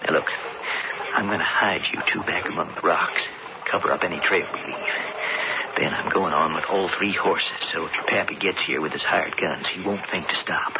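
Gruff cowboy in old radio western, very deep, folksy, buried in loud hiss. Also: male voice, steady tone, moderate pace. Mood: urgent, grave. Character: gruff, steady frontier cowboy, protective and plain-spoken. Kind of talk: 1970s radio western acting. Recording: muffled, very noisy old radio broadcast.

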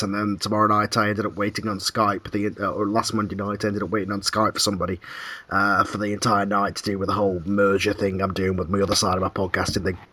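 A man hosting a podcast in casual chat, his voice croaky and hoarse as he talks in a meandering way, clearing his throat often and swearing casually. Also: fairly low pitch, fairly bright tone, no room sound. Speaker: podcast host.

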